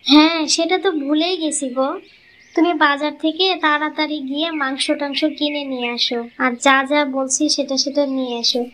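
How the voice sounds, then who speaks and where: A woman's voice: high-pitched, steady tone, fairly quick, a bit bright. A woman voicing a selfish young village wife with a coaxing manner in a cartoon. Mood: sweet, coaxing. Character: selfish, greedy young village wife, sweet-talking but secretly resentful. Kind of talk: cartoon moral-story voice acting.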